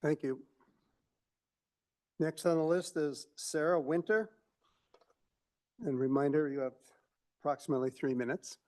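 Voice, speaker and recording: A man chairing a city council meeting, calling speakers and giving time-limit reminders in a soft-spoken, procedural way. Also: fairly high pitch, fairly even intonation, unhurried, pauses often.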